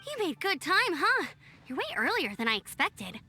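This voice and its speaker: A woman voicing an upbeat, energetic young female scout in a video game, bubbly and friendly. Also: high voice, normal speed, heavily acted. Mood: cheerful. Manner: bubbly, enthusiastic. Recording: faint game music behind.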